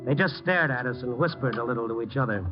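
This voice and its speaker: A man's voice: mid-range voice, quick pace, close to an everyday voice. Man playing a hard-boiled old-time radio reporter. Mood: tense narration. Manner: terse, slangy, world-weary. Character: wry, hard-boiled newspaper reporter, loyal and protective. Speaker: actor in the lead role and narrator. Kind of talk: 1940s-50s radio drama acting, first-person narration. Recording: dull old radio recording, music behind.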